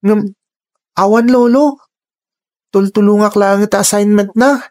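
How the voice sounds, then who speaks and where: A performer acting a caring young older brother in a radio drama, gentle and respectful. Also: medium pitch, a little slow, lightly acted. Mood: respectful, explaining.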